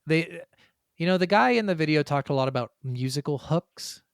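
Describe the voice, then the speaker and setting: A man's voice: a little high, lively intonation, moderate pace, creaky, clear, resonant tone, no echo, studio quality. A man co-hosting a gaming podcast in a casual, blunt way, swearing freely as he chats. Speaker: podcast co-host.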